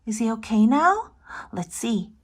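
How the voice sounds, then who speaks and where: A woman reading a picture book aloud to young children, playful and expressive, speaking directly to the child listening. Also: on the high side, unhurried.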